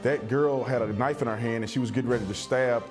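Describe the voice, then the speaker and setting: A blunt American man gives indignant commentary as a TV guest. He talks quickly in a mid-pitched voice, with music underneath. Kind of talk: TV interview commentary.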